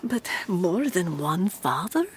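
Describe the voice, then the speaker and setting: A woman's voice: on the low side, sing-song, slow pace, slight background noise. An actress playing an anxious, prim would-be mother in an audio drama, hesitant and dismayed.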